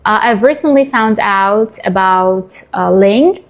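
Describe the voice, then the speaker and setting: A woman's voice: mid-range voice, takes it slowly. A woman in her twenties from Iran, a native Persian speaker, giving a chatty and enthusiastic guide to learning languages for her YouTube viewers. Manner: chatty and enthusiastic, addresses viewers as "you guys".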